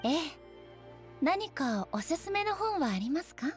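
Regal lady ruler in an anime game, high voice, formal n polite, earnest, bit naive, music behind. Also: very animated, unhurried. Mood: composed. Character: composed, dignified female ruler, earnest and naive about fiction. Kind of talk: Japanese game voice acting.

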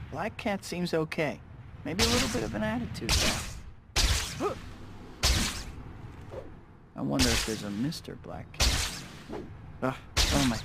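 A young superhero in a video game. His voice is boyish and on the high side, with a quippy, earnest delivery. Loud ambient noise runs behind him. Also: vocal fry, full character voice. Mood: musing. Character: wisecracking young male superhero, earnest and flustered.

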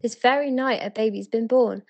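British female, slightly high voice, as an eager ancient townswoman played by a woman in spoken-word verse; earnest, full of wonder. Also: normal speed, vocal fry, dull audio. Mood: awed, earnest. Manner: earnest, excited, pleading.

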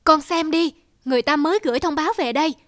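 A woman voicing a gentle, encouraging mother in an animated tale, speaking with patient, soothing manner. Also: high voice, fast talker, dry recording, clean studio sound, lightly acted. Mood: proud, happy. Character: gentle, encouraging mother of a young pianist daughter. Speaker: mother character. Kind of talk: animated fairy-tale dubbing.

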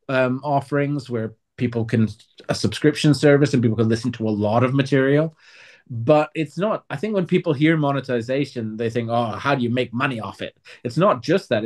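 A man's voice: mid-range voice, a little mellow. A man speaking earnestly as an interview guest, explaining his craft as an audio drama writer.

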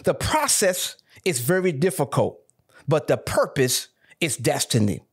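A man preaching from the pulpit, his voice slightly husky and rough at the edges. His pitch climbs and swoops as he stacks up emphatic points and calls out to his listeners. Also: big pitch swings, measured pace. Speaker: pastor. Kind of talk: sermon.

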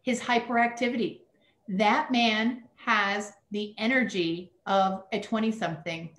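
A woman's voice: medium pitch, fairly even intonation, measured pace, fairly bright tone. A middle-aged woman, an ADHD coach as guest, speaking in an affirming, emphatic way over a video call from a home office. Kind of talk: interview answer. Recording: roomy video-call sound.

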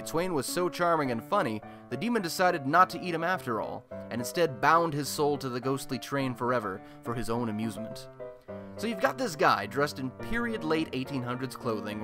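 A man's voice: medium pitch, lightly acted. A man playing a chatty, wry radio host in a superhero city, telling scripted comic stories in a colloquial, theatrical way for a radio play.

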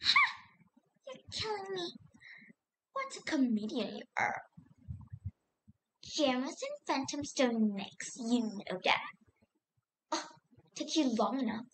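Woman acting a wry, high-voiced cartoon creature. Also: big pitch swings, slow pace, long pauses, slight background noise, heavily acted, rough recording. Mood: teasing. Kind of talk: character audition lines.

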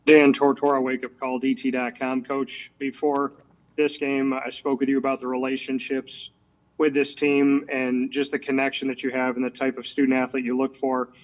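Very monotone male reporter. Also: medium pitch, moderate pace, poor audio. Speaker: sports reporter. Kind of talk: prepared press question. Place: remote video call. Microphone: video call.

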